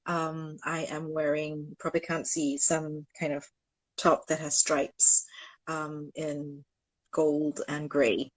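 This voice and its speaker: Low-voiced woman from Singapore, dry sound. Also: level tone, slow pace, no room sound, low-quality recording. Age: 40s. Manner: thoughtful, many ums, self-deprecating humor. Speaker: ethnomusicologist guest. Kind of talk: casual online interview. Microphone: video call.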